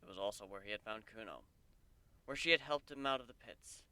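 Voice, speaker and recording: Crisp, bright-voiced man narrating a story. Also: lowish voice, brisk, no room sound. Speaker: narrator, fan fiction reader. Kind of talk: dramatic audiobook narration.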